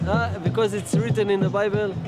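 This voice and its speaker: A man in his thirties answering a street interview calmly and devoutly, speaking slowly about faith. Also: high voice, over loud music. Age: 30s.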